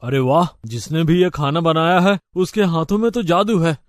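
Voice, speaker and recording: A man's voice: a little high, moderate pace, dry recording, studio quality, natural voice. A man voicing a rich, polite young college man in a story, earnest and plain-spoken. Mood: impressed, curious.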